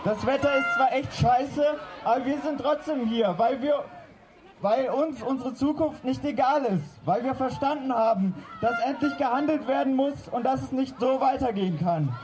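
A young woman giving an impassioned political speech at a rally, loudly and emphatically projecting her words. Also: high-pitched, moderate pace. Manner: loud, emphatic projected delivery. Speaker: climate strike rally speaker. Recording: loud outdoor rally noise and crowd.

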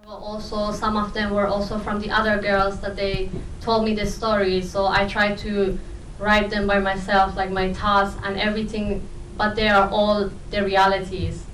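A woman from Afghanistan, not a native English speaker, answering informally and haltingly at a live festival talk. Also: medium pitch, level tone, fairly bright tone. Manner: halting, loosely phrased non-native English. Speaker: film protagonist and co-writer at a festival talk. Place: echoey event room. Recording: roomy, distant live event sound, faint noise.

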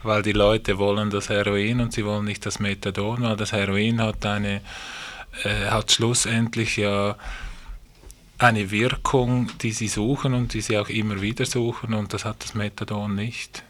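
A man from Switzerland speaking in a panel discussion as a programme worker, halting and rephrasing as he goes. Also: on the low side, little pitch movement, a bit bright.

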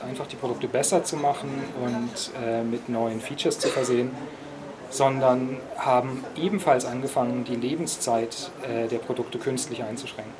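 Interviewee, man, mid voice, explanatory with fillers, crowd noise behind. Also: medium pitch. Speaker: repair café volunteer. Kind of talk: interview answers.

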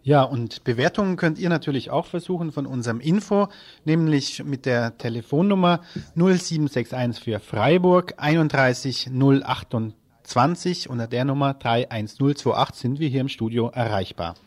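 A man's voice: medium pitch, moderate pace. A man presenting radio news, reading from a script with the occasional stumble and self-correction.

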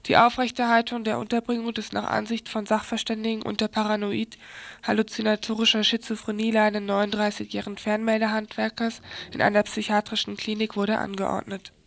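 A woman's voice: mid-range voice, completely flat delivery, fairly quick, vocal fry. A woman presenting radio news, reading formally from a script.

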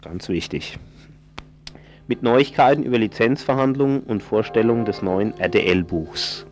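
Man, low voice, community radio presenter, casual listings, self-correcting, faint background music. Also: a little slow, darkish tone. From southwest Germany. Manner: informal, stumbling, self-correcting. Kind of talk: casual live radio announcements.

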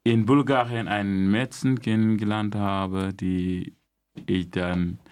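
A young man from Gambia speaks with a very low voice that barely rises or falls. His German is halting and simple, full of restarts. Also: steady tone, a little mellow, smooth. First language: not German. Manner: halting non-native German, many restarts and fillers. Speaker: guest. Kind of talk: casual interview.

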